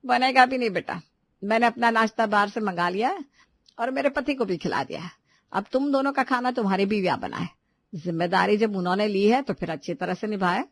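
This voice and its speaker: A woman's voice: medium pitch, lively intonation, fairly quick. A woman voicing a stern, shrewd older mother-in-law in a family story, with a pointed, lecturing manner. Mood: firm.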